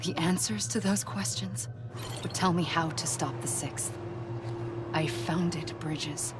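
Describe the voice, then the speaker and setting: An actress playing a weary, grave woman leader in a video game cutscene, speaking in a measured, reflective and solemn way with deliberate pauses. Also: on the low side, steady tone, over music. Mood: solemn, resolute. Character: weary, grave woman leader confessing her life's mission. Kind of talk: dramatic scripted monologue in a video game cutscene.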